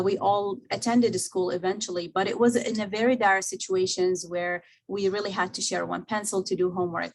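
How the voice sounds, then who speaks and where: A woman's voice: mid-range voice, low-quality recording. A young adult woman from Iraq, speaking earnestly as a panelist in an academic talk, with frequent fillers. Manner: earnest, many fillers, personal perspective. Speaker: visiting scholar panelist.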